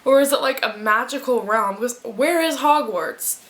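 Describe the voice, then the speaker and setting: A woman chatting casually to her camera at home, reviewing a book with rambling, animated asides. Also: on the high side, normal speed. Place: at home in front of a fan. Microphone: camera mic.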